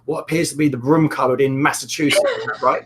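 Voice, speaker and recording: A man hosting an online tech meetup, talking casually with a croaky voice and a joking manner. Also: mid-range voice, fairly even intonation, fast, background noise. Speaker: host.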